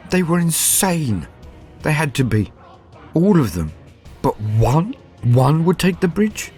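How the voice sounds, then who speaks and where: A man playing an arrogant villainous officer in a dramatic first-person horror tale, emphatic and theatrical with weighty pauses. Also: on the low side, a little mellow. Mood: scornful, incredulous. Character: arrogant male villain officer sinking into dread.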